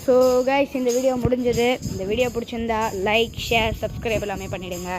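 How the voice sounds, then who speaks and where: A woman's voice: fairly high pitch, steady tone, a little fast, over music. A woman chattily explaining a product step by step to viewers in a casual vlog. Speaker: vlogger presenting a product unboxing.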